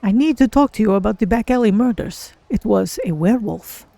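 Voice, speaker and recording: A streamer voicing a polite, curious cat-folk adventurer in a measured, formal manner while roleplaying a game. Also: medium pitch, creaky, warm tone, no room sound, lightly acted. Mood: composed, inquiring.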